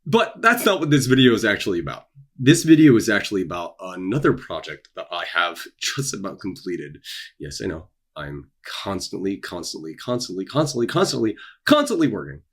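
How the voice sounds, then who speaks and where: Husky, low-voiced theatrical young man. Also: very animated, a bit bright. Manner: theatrical, emphatic repetition, dramatic pauses. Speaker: author and video creator. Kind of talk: casual promotional vlog, dramatic delivery. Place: small dark room indoors.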